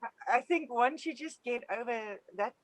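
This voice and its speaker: This is a woman whose first language is Afrikaans, speaking in a slightly high voice. She is a mother answering interview questions, earnest and grateful, and she often restarts her sentences. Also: moderate pace, no echo, rough recording. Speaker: homeschooling traveling mother.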